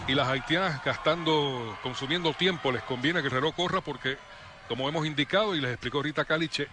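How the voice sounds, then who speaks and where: A man calling play-by-play for a youth football match, brisk and lively on attacks. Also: fairly high pitch, background noise. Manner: brisk, rising excitement on attacks. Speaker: play-by-play commentator.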